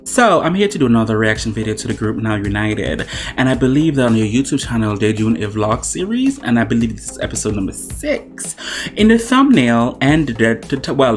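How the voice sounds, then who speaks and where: A man's voice: fairly low pitch, very animated, brisk. A man with a Jamaican accent hosting a casual reaction video, curious and laughing along. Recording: music playing behind. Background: music.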